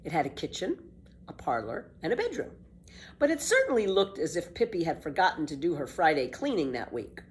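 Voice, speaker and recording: Animated woman reading a children's story. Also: low voice, a little hiss or hum. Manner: expressive, measured storytelling. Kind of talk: scripted children's book narration.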